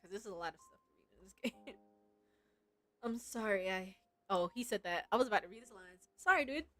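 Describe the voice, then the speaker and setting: A woman game streamer chatting casually and reacting in an animated, giggly way. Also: medium pitch, a little fast, faint music behind. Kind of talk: casual livestream chat with read-aloud game lines.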